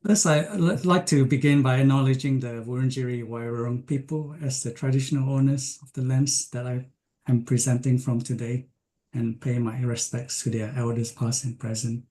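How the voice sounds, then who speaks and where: A man from Malaysia giving an online science lecture, speaking with frequent ums, pauses and restarts. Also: medium pitch, fairly even intonation, dark tone. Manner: hesitant, many ums and restarts.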